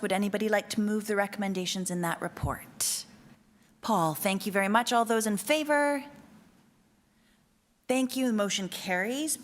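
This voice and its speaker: A woman chairing a city board meeting, moving through agenda items with brisk, friendly procedural phrasing. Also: medium pitch. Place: council meeting room.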